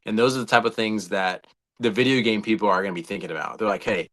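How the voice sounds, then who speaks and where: A man's voice: on the low side, fast talker, fairly bright tone. A man, perhaps in his thirties or older, co-hosting a casual movie discussion with an enthusiastic, rambling fan's delivery. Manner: enthusiastic, rambling fan talk. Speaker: podcast co-host.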